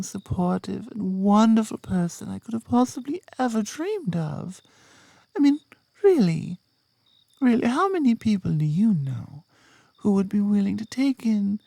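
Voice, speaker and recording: Slow, mellow British werewolf girlfriend played by a woman. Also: mid-range voice, creaky, no room sound, full character voice. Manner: soft, tender, often dropping into whispers. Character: devoted, doting adult female werewolf girlfriend. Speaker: ASMR roleplay performer. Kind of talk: intimate ASMR girlfriend roleplay. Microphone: close, dry microphone.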